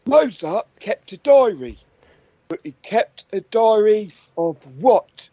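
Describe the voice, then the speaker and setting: A man's voice: high-pitched, expressive, slow, mellow, dry recording, highs cut off. A man co-hosting a comedy podcast, reading out quiz questions playfully and with stumbles. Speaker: podcast co-host and quizmaster.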